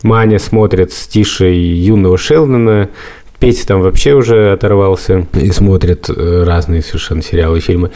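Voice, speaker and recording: A middle-aged man with a low voice and a soft, dark tone. He speaks as a podcast host in casual conversation, thinking aloud as he tells his stories. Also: moderate pace. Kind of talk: casual chat.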